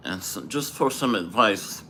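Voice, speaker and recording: A man leads a livestreamed Bible lesson in a slow, deliberate cadence. His throat is dry and he clears it often. His voice stays bright and forceful. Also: lowish voice, lively intonation, a little hiss or hum. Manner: slow, measured preaching with Hebrew phrases. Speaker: Bible teacher. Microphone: livestream microphone.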